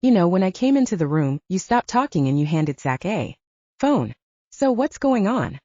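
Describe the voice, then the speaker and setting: A woman's voice: mid-range voice, very animated, normal speed, warm tone, dry recording, dull audio. A woman voicing a proud, shaken young female army medic in an audiobook, snappy, sweary and frustrated. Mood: demanding.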